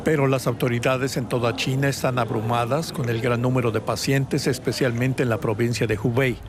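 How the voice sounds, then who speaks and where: A man narrating a television news report in a steady, informative way. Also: medium pitch, fairly quick, a crowd in the background.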